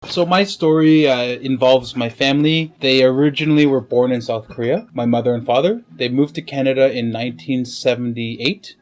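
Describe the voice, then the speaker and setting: A man in his thirties being interviewed, speaking reflectively with soft trailing endings. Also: mid-range voice, normal speed, few pauses. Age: 30s. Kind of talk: casual interview.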